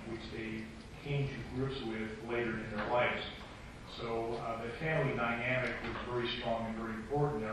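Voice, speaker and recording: Monotone male participant, reflective, distant echo with audible room noise. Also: medium pitch. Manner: reflective, many fillers. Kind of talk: group Bible study discussion. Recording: echoey room, far from the mic, noisy.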